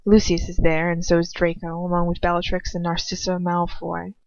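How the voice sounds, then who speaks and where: A woman's voice: on the low side, completely flat delivery, fairly quick. A woman reading fan fiction aloud as an amateur narrator, informal and often breaking off into casual asides. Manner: informal, self-interrupting. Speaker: amateur audiobook reader. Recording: roomy, muffled lo-fi home recording.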